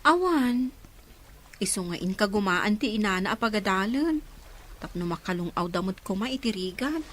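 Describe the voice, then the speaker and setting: A woman's voice: mid-range voice, animated, moderate pace, a little hiss or hum, lightly acted. A woman with a Filipino accent playing a frank, concerned young woman, a loyal friend in a radio drama. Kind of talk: Ilocano radio drama acting.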